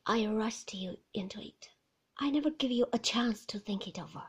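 A woman reading an audiobook voices a moody, brooding older husband in a slow, hushed half-whisper. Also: mid-range voice, slightly rough.

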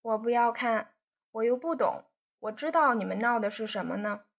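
A woman voicing a proud young woman who pouts and answers her suitor with sharp retorts. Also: fairly high pitch, moderate pace, creaky. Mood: sulky.

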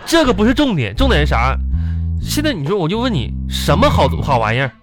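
A man's voice: on the high side, wildly swooping pitch, quick pace. A man acting a wisecracking, exasperated husband in a comic radio drama, with a Northeastern Chinese accent and folksy, exaggerated griping. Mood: wheedling, persuasive. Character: exasperated, wisecracking husband teasing his gluttonous wife. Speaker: radio show host and performer. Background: music bed.

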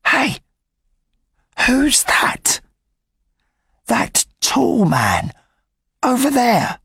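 A man's voice: very high voice, huge pitch swings, breathy edge, no echo, voice pushed up, full character voice. A man reading aloud and voicing a cheeky, streetwise young boy in a raised, eager voice. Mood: urgent, secretive. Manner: eager, quick, loud whispers.

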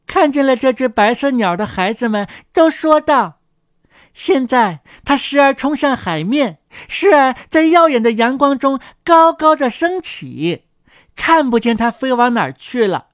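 A woman co-hosting a children's story programme, narrating a fairy tale in an expressive scripted style. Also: high-pitched, a little slow, dry recording, studio quality.

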